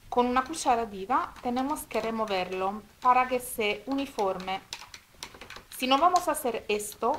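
A woman's voice: a little high, a bit bright, a little hiss or hum, low-quality recording. Italian woman explaining a recipe in careful Spanish. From Italy. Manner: careful, slightly halting non-native Spanish with restarts. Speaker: cooking video host. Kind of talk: step-by-step recipe demonstration. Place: home kitchen table.

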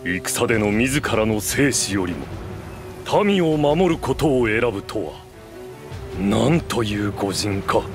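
An actor playing a grave, honourable bearded warrior in a video game, with solemn, archaic formal speech. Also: male voice, fairly low pitch, very animated, slow, music in the background, exaggerated voice. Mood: grave, respectful. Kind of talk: video game cutscene voice acting.

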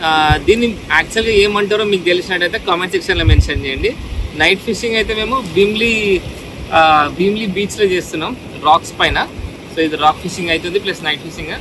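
A man's voice: sits high, fast talker. A man, casually narrating a fishing vlog outdoors on a rocky shore and mixing in English phrases. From Telangana. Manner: excitable, switches into English phrases. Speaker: fishing vlogger. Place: rocky seashore at night, outdoors. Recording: outdoor camera audio with wind and surf noise.

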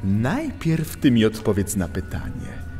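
A man's voice: on the low side, huge pitch swings, unhurried, dark tone. An actor playing a smug, mocking animal thief and gang boss in a children's audio drama, savoring his words theatrically. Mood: smug, taunting. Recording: long cavernous reverb, music behind.

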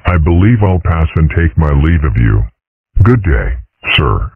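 A man voicing a polite, reasonable dragon father with formal, measured, understated delivery in a cartoon comic dub. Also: rumbling low, big pitch swings, husky, dry recording, phone-quality sound, exaggerated voice. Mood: calm, dignified. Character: polite, reasonable seven-foot dragon father, dry and dignified.

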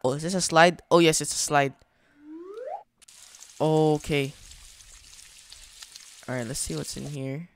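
A man's voice: on the high side, fairly even intonation, slow pace, long pauses. A young man hosting a gaming channel, casually narrating his play in a chatty, slangy way, thinking aloud as he goes. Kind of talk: casual gameplay commentary.

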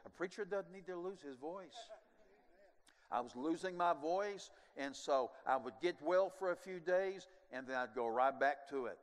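An older man preaching a sermon as pastor on a large church stage, animated and moving, dropping at times to quiet asides. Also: a little high, normal speed. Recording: long church hall reverb.

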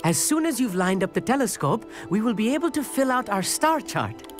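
A bookish teenage boy in a fantasy game, eager and a bit nervous. His delivery swings in pitch, chatty yet formal and earnest, with music playing underneath. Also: very animated, brisk. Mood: excited, proud. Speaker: companion character in a fantasy video game. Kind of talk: scripted game dialogue.

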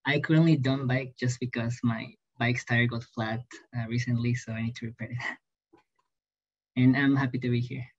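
A man's voice: medium pitch, fairly even intonation, moderate pace. A young man originally from Peru, casually introducing himself as a student panelist on a video call. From Peru, later Los Angeles. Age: young adult. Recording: slightly dull video-call audio.

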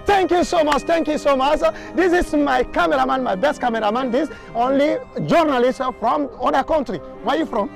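Very high, gravelly-voiced exuberant man. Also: moderate pace, few pauses. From Guinea. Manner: exuberant, loud exclamations, switches between French and English. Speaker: reporter and tour host. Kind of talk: street tour reporting. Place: outdoors on a city lawn and plaza. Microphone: handheld camera, medium shot. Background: background music.

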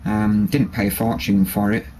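Man, low, level delivery, casual and hesitant, workshop camera mic. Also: moderate pace, slight background noise. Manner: relaxed, hesitant with many ums and restarts. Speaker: bushcraft hobbyist showing a knife. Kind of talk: casual show-and-tell. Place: workshop with a grinder and workbench.

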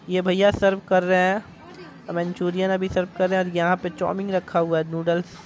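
A man's voice: sits high, muffled recording, slight background noise. A young man from India vlogging a night out at a restaurant, chatty and enthusiastic, talking straight to his viewers over a phone camera. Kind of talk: casual vlog narration. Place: outdoor restaurant terrace at night.

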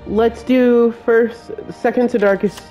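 A man's voice: very high voice, flat delivery, warm tone, dull audio, faint music behind. A man with a cold and a congested voice, hosting a step-by-step game build tutorial as a voice-over. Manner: slow, plain instruction-giving voice-over.

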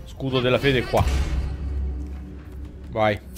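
A man in his thirties streams gameplay with a Roman accent and a medium-pitched voice. His commentary is slangy and exclamatory, addressed to chat and to his characters. Game music plays behind him. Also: steady tone, slow. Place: indoors at a streaming desk.

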